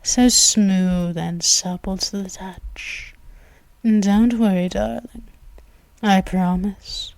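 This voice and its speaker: Woman voicing a slow, purring vampire mistress. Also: medium pitch, steady tone, vocal fry, no echo, poor audio. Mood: seductive. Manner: soft, hushed and drawn-out, often whispering; commanding and purring. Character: dominant predatory female vampire, commanding and possessive. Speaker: ASMR roleplay performer. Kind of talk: ASMR roleplay. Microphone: close mic, intimate.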